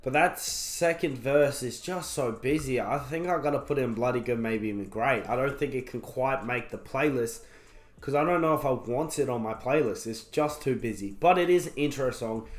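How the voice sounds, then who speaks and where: A young man with a croaky voice, recovering from being sick, casually reviewing an album and rambling with lots of fillers. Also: mid-range voice, moderate pace. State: croaky voice after illness. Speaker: music reviewer. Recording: roomy echo.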